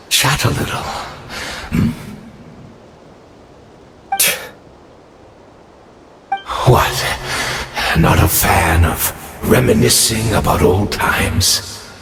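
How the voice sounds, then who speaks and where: An actor playing an ancient fallen knight in a video game, weary and sardonic, speaking theatrically with halting pauses. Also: male voice, deep voice, animated, vocal fry, a bit bright, a little hiss or hum, exaggerated voice. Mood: wry, placating. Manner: slow, theatrical, pausing mid-sentence. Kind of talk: dramatic video game voice acting. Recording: reverberant game audio under music.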